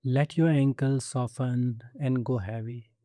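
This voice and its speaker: A man leading a guided meditation with soothing, gentle instruction and measured pauses between phrases. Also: mid-range voice, fairly even intonation, no echo, studio quality. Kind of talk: guided body scan meditation.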